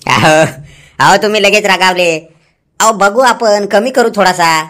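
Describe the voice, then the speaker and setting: A man's voice: high-pitched, unhurried, fairly bright tone. A man voicing an officious, evasive electricity office clerk in a comedy cartoon, defensive and given to explaining. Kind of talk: Marathi comedy cartoon dialogue. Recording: music behind the voice. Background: music.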